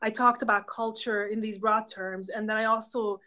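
A woman's voice: mid-range voice, fairly even intonation, normal speed, pushed and bright. A woman in her thirties from Hungary with a Hungarian accent, a professor giving thoughtful, example-filled explanations as a guest on a video call from a home office. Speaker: professor of pastoral theology, guest. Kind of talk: casual interview conversation. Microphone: webcam on a video call.

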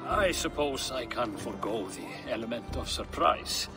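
An actor playing a courteous, wry young Norse official in a video game drama. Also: male voice, medium pitch, animated, normal speed, over music, natural voice. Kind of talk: dramatic game cutscene acting.

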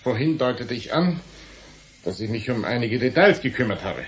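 An actor playing a stubborn, defensive journalist in a radio play, explaining himself in a deliberate way. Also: male voice, medium pitch, animated, a little hiss or hum, natural voice. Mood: confessing. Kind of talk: German radio play acting.

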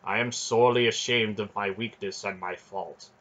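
A man voicing a solemn, husky-voiced knight. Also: lowish voice, steady tone, normal speed, a bit bright, lo-fi sound. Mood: troubled, solemn. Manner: archaic courtly speech, earnest and declaiming. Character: brooding noble male knight, formal and solemn.

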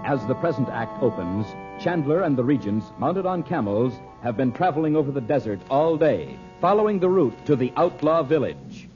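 A man announcing an old-time radio show in an emphatic, deliberate scripted style. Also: mid-range voice, very animated. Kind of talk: 1930s-40s radio announcing, scripted sales pitch and narration. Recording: muffled noisy old radio, loud music.

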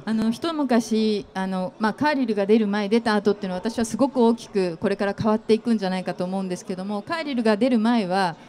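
A middle-aged woman interviewing a guest on an expo stage, asking long, gentle questions full of fillers into a handheld mic. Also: medium pitch, fast, few pauses. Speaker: interviewer, company executive.

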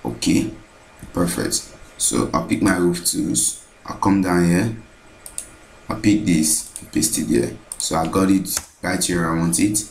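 A man narrating a screen-recorded software tutorial, thinking aloud as he repeats each step. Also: low-pitched, measured pace, vocal fry, reverberant.

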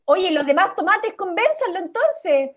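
A woman's voice: extremely high pitch, sing-song, unhurried, fairly bright tone. A woman leading an improvised radio play workshop on a video call, instructive and encouraging. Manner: encouraging, instructive, acts out example lines. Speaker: workshop leader. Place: indoors at home, on a video call.

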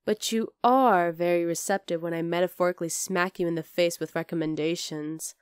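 A woman's voice: on the low side, fairly even intonation, a little fast, smooth, no room sound, clean studio sound, close to an everyday voice. A woman playing a young wolf-girl girlfriend in an intimate ASMR roleplay, affectionate, teasing and coaxing. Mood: fond teasing. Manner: cooing, playful, coaxing.

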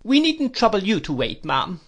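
A man's voice: sits high, huge pitch swings, normal speed, fairly bright tone, breathy edge, pitched above the performer's own voice, exaggerated voice. A man reading aloud, voicing a brusque, commanding elderly aunt with clipped, imperious speech. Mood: brisk.

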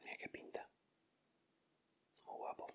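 Man, Castilian Spanish accent, bright crisp whisper, ASMR host, informal. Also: a little slow. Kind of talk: ASMR, whispered unboxing commentary.

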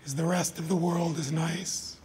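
A man voicing a warm, spirited old woman in a storybook read aloud, wistful and gently teasing. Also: fairly high pitch, fairly even intonation, moderate pace, slight background noise, natural voice.

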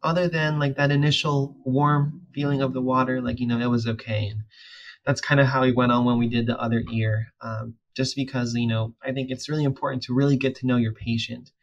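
Young man, flat voice, video call. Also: medium pitch, fairly quick, highs cut off. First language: Spanish. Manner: relaxed, many fillers and restarts. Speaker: audiologist and PhD student. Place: room at home.

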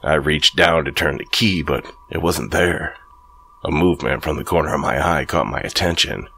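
A man narrating a first-person horror story in a slow, brooding scripted read. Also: rumbling low, creaky, sharp tone, a little hiss or hum.

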